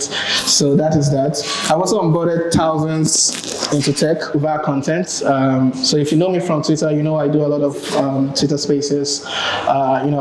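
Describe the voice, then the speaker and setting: A young man with a Ghanaian accent giving a relaxed, chatty tech conference talk, with many ums and restarts. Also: a little high, noisy background. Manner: relaxed, chatty, many ums and self-corrections, laughs at own jokes. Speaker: conference speaker. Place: large conference hall with audience. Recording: roomy hall with crowd.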